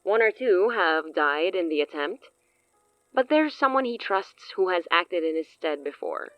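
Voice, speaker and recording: An actress playing a grandiose, amused, long-lived sorceress in a horror audio drama, speaking eloquently and theatrically with hushed asides. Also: female voice, on the low side, expressive, a little slow, no room sound, lo-fi sound, soft background music. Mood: eager, scheming.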